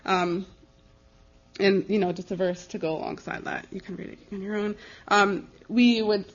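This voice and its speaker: A young American woman speaking as a missionary, giving an informal slide presentation in a conversational, earnest and halting way. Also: mid-range voice, fairly even intonation. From United States. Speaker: missionary giving a ministry report. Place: live talk before an audience. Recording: dull, slightly lo-fi recording.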